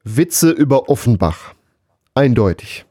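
Mellow, lively man on a podcast. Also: mid-range voice, slow pace, husky, no echo, studio quality. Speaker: podcast co-host. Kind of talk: casual chat, bantering Q&A.

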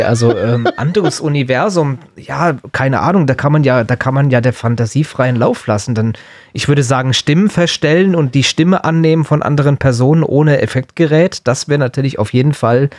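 A man's voice: mid-range voice, moderate pace, no echo, studio quality. A man co-hosting a podcast in casual, joking chat. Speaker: podcast co-host.

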